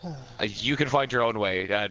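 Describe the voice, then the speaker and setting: A man's voice: fairly low pitch, moderate pace, bright, forceful tone, dull audio. A man playing an online tabletop role-playing game, talking casually and bluntly with plenty of swearing, over background noise. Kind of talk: casual in-character play and recap.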